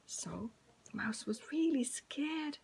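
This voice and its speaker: A woman storyteller speaking to preschoolers. Her voice is fairly high with a husky, breathy edge. She retells the tale informally in simple, repetitive phrases, speaking straight to young listeners. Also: animated, slow pace, a little mellow.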